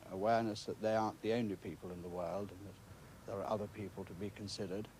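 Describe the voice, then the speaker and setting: A middle-aged British man giving measured interview answers, formal and careful, with hesitant phrasing. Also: on the low side, fairly even intonation, a little mellow. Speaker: school staff member interviewed. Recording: clear background noise on location.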